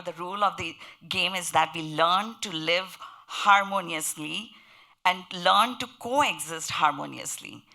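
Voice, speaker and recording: An earnest woman with a heavy Indian accent speaks on a conference panel. Her voice sits low for a woman, with a bright, forceful edge. She builds her points slowly, repeating phrases to stress them. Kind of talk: panel discussion. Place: conference panel before an audience.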